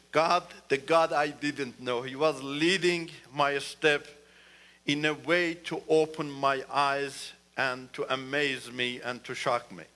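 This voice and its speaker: Man, guest church speaker, earnest, emphatic, bright tone. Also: mid-range voice. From Iran. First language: Turkish. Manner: earnest, repeats "you know", rises emphatically. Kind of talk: testimony talk in church.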